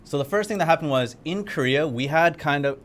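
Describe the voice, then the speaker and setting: A young man co-hosting a live game event stream, upbeat, talkative and easily excited. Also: on the high side, a little fast. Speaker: game community manager. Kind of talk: casual livestream co-hosting. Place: studio in Korea.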